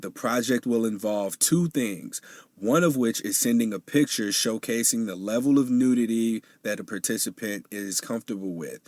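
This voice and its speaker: A man with a fairly low voice hosts his own podcast, rambling casually through announcements and listener questions with plenty of ums. He sounds nasal and a bit sniffly, as if a cold is coming on. The recording is dry and close, with no room sound. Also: dry recording. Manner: casual and chatty, with frequent fillers. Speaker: podcast host. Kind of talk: solo podcast monologue.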